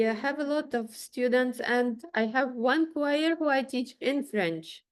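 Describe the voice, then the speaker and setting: A woman from Ukraine speaks as an interview guest, in a fairly high voice with a Ukrainian accent. She talks casually and a little haltingly, feeling for the right words. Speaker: interview guest, musician and choir teacher.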